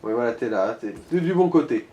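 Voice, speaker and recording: A man's voice: medium pitch, expressive, fairly quick, dark tone, roomy sound. A man giving casual, sweary gaming commentary as he talks a co-player through directions. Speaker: co-host of a gaming let's play.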